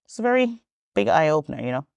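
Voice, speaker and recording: A low-voiced woman talks through her life story as a podcast guest. She rambles comfortably, restarting sentences and dropping in ums, and laughs easily. The sound is dry and close, with no room around it. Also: sing-song, fast, darkish tone, smooth, no echo, lo-fi sound. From Trinidad and Tobago. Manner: chatty and rambling, frequent ums and self-corrections, laughs easily. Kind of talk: casual storytelling interview.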